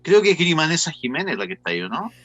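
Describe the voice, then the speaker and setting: Bright-voiced Chilean man, casual interviewer. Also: fairly high pitch, sing-song, very fast, no room sound, highs cut off. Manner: colloquial, interjecting questions. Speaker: interview show host.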